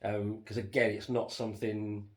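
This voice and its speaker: A man co-hosting a casual home podcast, rambling with lots of fillers and restarts, his voice going hoarse. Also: lowish voice, flat delivery, normal speed. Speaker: podcast co-host reviewing a TV series. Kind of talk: casual chat.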